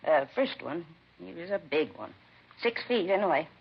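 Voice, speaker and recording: A woman's voice: lowish voice, lively intonation, moderate pace, slightly rough. An actress playing a gossipy, blunt rooming-house landlady, chatty and folksy, in a 1950s radio crime drama. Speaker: landlady questioned by police. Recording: muffled, hissy vintage radio recording.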